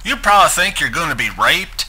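A man's voice: a little high, big pitch swings, brisk, sharp tone, husky. A man giving a dramatic reading of a script as its narrator. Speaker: narrator reading a transcript aloud.

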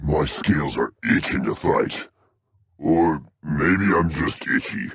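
Hot-tempered dragon fighter for a game, super slow and heavy, gravelly growly blustering voice. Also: male voice, extremely low pitch, no echo, clean studio sound, full character voice. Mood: gruff grumbling. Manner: blustering, swinging from growls to bellows. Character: brutish, hot-tempered male dragon warrior, gruff and boastful. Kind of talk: video game character barks.

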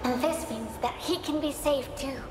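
A woman's voice: sits high, normal speed, close to an everyday voice. An actress playing an earnest ghostly girl spirit, a younger sister in a fantasy game. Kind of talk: dramatic fantasy game dialogue. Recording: long ethereal reverb, noisy ambience.

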